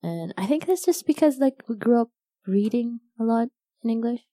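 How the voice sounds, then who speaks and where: A young woman whose first language is Arabic, chatting casually as a guest in an interview, self-deprecating and quick to laugh. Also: fairly high pitch, expressive, mellow, no echo, clean studio sound. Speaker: novelist guest.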